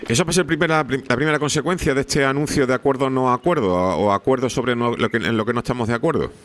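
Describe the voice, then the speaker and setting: A man's voice: medium pitch, fast. A man hosting a radio talk show, chatting with guests in a friendly, conversational manner. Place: radio studio.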